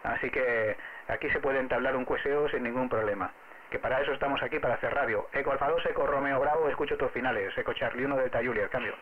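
A man's voice: mid-range voice, fast, narrow, tinny audio. A man with an accent from Spain, an amateur radio operator explaining things in casual on-air conversation. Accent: Spanish from Spain.

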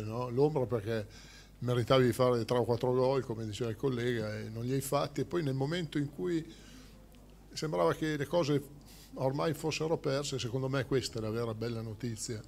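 A man's voice: medium pitch, darkish tone, slight background noise. A man, a sports journalist, putting long conversational questions at a press conference. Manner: conversational, explaining his point at length.

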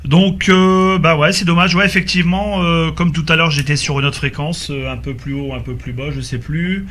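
A man chatting casually and haltingly as an amateur radio operator, heard over a two-way radio. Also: a little high, steady tone, fairly bright tone. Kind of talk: casual radio group chat. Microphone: radio microphone.

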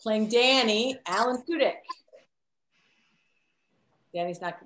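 A woman's voice: on the high side, slow pace, fairly bright tone, a little hiss or hum. A woman director casually making introductions on an online video call. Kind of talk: casual announcing. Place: indoor room, on a group video call. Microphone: video-call microphone. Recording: roomy, echoey video-call audio.

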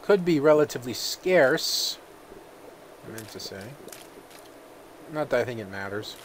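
A man who is feeling a little sick, giving relaxed let's-play commentary and thinking aloud with plenty of fillers. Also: medium pitch, measured pace, slight background noise. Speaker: gaming video host.

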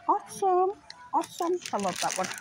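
A woman's voice: very high voice, big pitch swings, fairly quick, background noise. A woman giving a casual, off-the-cuff shopping vlog narration in short, fragmentary remarks as she browses a store on her phone. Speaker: toy shopping vlogger. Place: large retail store aisle, indoors. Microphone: handheld phone, held by the speaker behind the camera. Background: store music.

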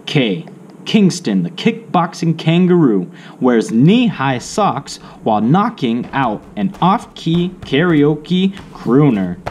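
Guy reading an alphabet kids book out loud, really slow, pitch moves around a lot, bit of noise behind him. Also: very animated. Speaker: children's book read-aloud narrator. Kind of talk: slow read-aloud of an alphabet picture book. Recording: faint background noise.

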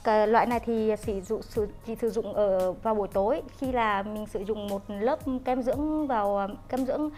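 A woman's voice: medium pitch, normal speed, hardly any pauses, a little mellow. A young woman, a skincare student, answering her teacher hesitantly and respectfully in a spa treatment room. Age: young adult. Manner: hesitant, deferential. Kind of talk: answering a teacher's questions in a practical demonstration. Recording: faint music behind treatment-room speech.

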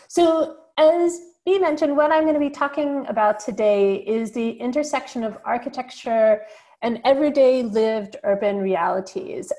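A woman's voice: on the high side, a little mellow. American woman giving a lecture. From United States. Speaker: guest lecturer. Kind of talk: online architecture lecture. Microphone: video call.

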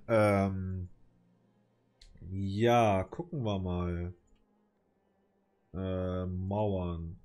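A man with a cold commenting casually on his city-building game, hesitant and rambling with many fillers, clearing his throat now and then. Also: low-pitched, drawn-out words, pauses often, darkish tone. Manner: rambling, hesitant, lots of filler, mild swearing. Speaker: let's play gamer.

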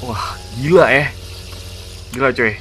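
A man does gaming commentary. His delivery is excited and slangy, full of exclamations, in a medium-pitched voice. Music plays behind him. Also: slow pace. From Indonesia. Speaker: gaming YouTuber. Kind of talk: casual gameplay commentary. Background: background music.

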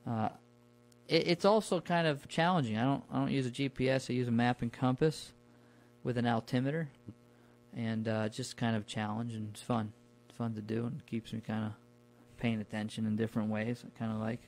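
A man talking casually and colloquially, telling stories in a relaxed conversational podcast style. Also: medium pitch, normal speed, a little hiss or hum. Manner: informal, colloquial. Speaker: podcast guest recounting his camping trips.

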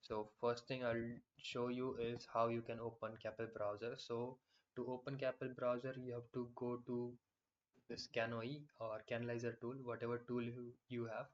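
A man explaining software in a screen-recorded tutorial, with frequent fillers and restarts. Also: mid-range voice, completely flat delivery, moderate pace, lo-fi sound.

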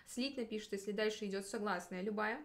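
A young woman speaks very quickly in a studio. She explains rules like a friendly tutor, chatty and encouraging, in a casual conversational style. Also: medium pitch. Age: 20s. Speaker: Russian language teacher. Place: small indoor studio.

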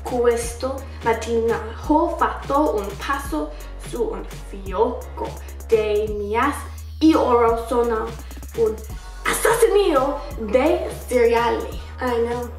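A woman's voice: on the high side, slow. A young woman vlogging from her bedroom, giggling as she stumbles through phrases in other languages. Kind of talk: casual vlog reading phrases aloud. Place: bedroom, indoors. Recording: echoey room, a bit distant, faint music.

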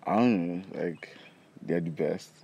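A man's voice: deep voice, measured pace, gravelly, mellow, slightly rough. A young man with a Nigerian accent giving casual answers in an outdoor interview. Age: young adult. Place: outdoors by campus buildings. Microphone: handheld device held by interviewer. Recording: faint outdoor background noise.

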